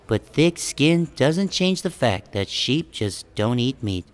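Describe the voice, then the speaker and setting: Audiobook lead: wry, deadpan young sheep narrator male actor, self-conscious, conversational, mid pitch. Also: takes it slowly. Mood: wry, musing. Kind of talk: first-person audiobook narration.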